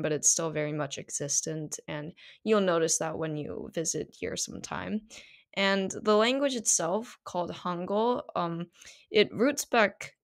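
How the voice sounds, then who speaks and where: Teenage woman hosting a podcast. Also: lowish voice. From South Korea. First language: Korean. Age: teens. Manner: chatty, many fillers. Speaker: podcast host. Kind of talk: casual solo podcast monologue.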